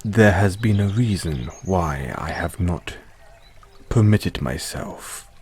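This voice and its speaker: A man's voice: deep voice, lively intonation, takes it slowly, a little mellow. A man playing a devoted, formal butler with a painful past, speaking solemnly and deferentially in a scripted audio roleplay. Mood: solemn, restrained.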